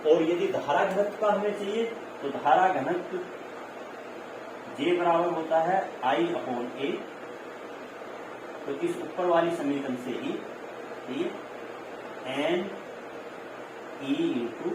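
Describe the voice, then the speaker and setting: Male physics teacher, slightly high voice, explanatory lecture delivery, heard from a distance with heavy room echo. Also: slow, long pauses. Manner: explanatory, repetitive, with check-in tags. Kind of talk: classroom lecture mixing in English terms. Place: echoey room. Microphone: distant microphone. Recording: very echoey, distant mic, clear noise.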